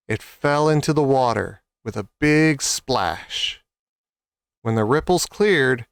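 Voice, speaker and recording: Clear, unhurried male storyteller. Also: medium pitch, dry recording, clean studio sound. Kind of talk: scripted children's story narration.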